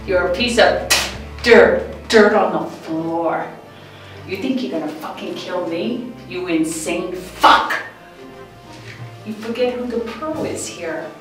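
A woman's voice: on the low side, takes it slowly, long pauses, music in the background. An actress playing a cornered, foul-mouthed, defiant woman in a film thriller, hostile and spitting out insults. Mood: menacing defiance. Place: small bare cinder-block room indoors. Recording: echoey concrete room, distant mic.

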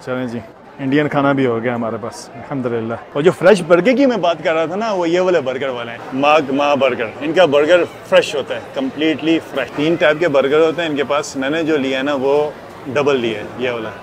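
A man's voice: mid-range voice, brisk, crowd noise. A man in his thirties narrating a casual, enthusiastic food vlog, first walking through a mall food court, then in a hotel room. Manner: chatty, enthusiastic, mixes English phrases in. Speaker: food vlogger.

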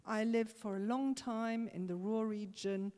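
A woman panelist speaking indignantly and forcefully in a stage debate, holding a handheld mic. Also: on the high side, fairly even intonation, a little slow. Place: large concert hall stage. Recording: reverberant concert hall.

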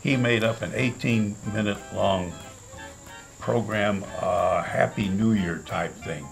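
An older man with a gravelly, fry-edged voice that sits on the low side. He tells his anecdote with chuckles as he goes, holding a handheld mic on a porch. Soft music plays underneath. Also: takes it slowly, rough recording. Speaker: radio club founder. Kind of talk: casual storytelling. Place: covered porch with a stone wall.

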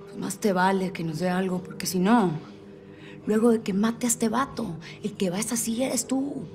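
A woman's voice: mid-range voice, a little fast, darkish tone, faint music behind, lightly acted. A woman voicing a ruthless, menacing young female cartel boss in a video game, with a Mexican accent. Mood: cold menace. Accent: Mexican Spanish. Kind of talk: video game cinematic acting.

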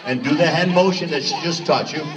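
Guy teaching hula to kids, counting steps, far from a phone mic, crowd loud behind. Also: on the high side, fairly quick. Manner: upbeat, counting steps aloud. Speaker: hula instructor. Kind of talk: teaching a dance to children on stage. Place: large hall at a children's show. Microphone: phone camera far from stage. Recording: distant phone recording, loud music and crowd.

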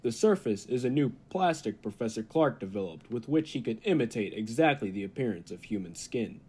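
A confident scientist played by a man with a husky, middle-pitched voice. He explains things with dramatic weight, in the style of old-time radio drama. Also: expressive, brisk, mellow. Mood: earnest, ominous. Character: confident male laboratory scientist, eager and unflinching.

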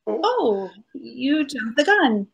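A middle-aged woman playing a witty, poised detective's wife with an arch, measured manner, in old-time radio mystery style. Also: high voice, very animated, gravelly, highs cut off, full character voice. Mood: curious. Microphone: video call. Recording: faint music behind.

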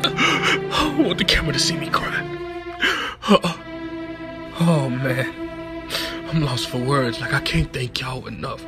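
A man plays an emotional chimpanzee, often pushed up high, gushing heartfelt thanks over clear background music. It is a comic animal dub. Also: expressive, measured pace, pauses often, lightly acted. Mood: tearful gratitude. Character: sentimental, grateful male chimpanzee.

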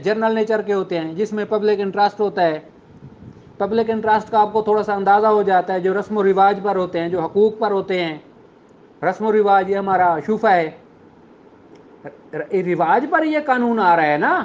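A man's voice: high-pitched, a little mellow, muffled recording. A man with a Pakistani accent giving an informal, explanatory lecture as a law teacher, mixing in English technical terms.

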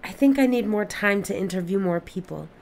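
An actress playing a polite, patient interviewer in an audio drama, speaking in a formal, measured way. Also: female voice, mid-range voice, expressive, vocal fry, a little mellow, a little hiss or hum. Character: polite, patient female interviewer gathering people's memories.

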